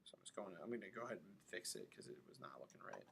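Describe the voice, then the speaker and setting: A man giving an informal software tutorial, thinking aloud as he demonstrates each step. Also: deep voice, very animated, very fast, creaky, noisy background. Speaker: animation instructor. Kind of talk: casual screen-recorded tutorial narration.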